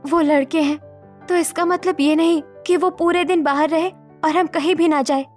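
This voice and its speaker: A woman's voice: very high-pitched, fairly even intonation, normal speed, a little mellow, faint music behind, voice pushed up. A woman voicing an aggrieved young adult daughter in a cartoon story, pleading and indignant.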